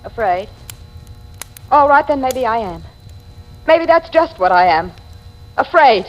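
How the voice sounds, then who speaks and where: An actress in 1940s radio style playing a troubled, fearful young stepdaughter with a melodramatic, pleading delivery. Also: female voice, a little high, expressive, slow. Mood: pleading, afraid. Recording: dull, faintly noisy vintage radio.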